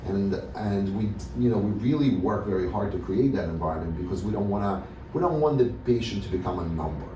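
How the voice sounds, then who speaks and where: Man from Russia, very low voice, halting speech full of fillers, echoey room, distant mic with noise. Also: animated, mellow. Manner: hesitant, many fillers and restarts. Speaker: dentist, interview guest. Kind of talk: casual interview answers.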